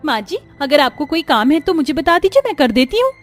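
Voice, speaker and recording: A woman voicing a gentle, meek young daughter-in-law in an animated story, speaking softly and politely. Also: high-pitched, a little fast, faint music behind. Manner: soft, pleading, polite.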